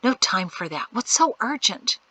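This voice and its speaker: Lady doing a brusque young guy, fed up and scolding, bright and dry. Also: medium pitch, sing-song, normal speed, slightly rough, dry recording, heavily acted, poor audio. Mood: impatient. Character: curt impatient young man, dismissive.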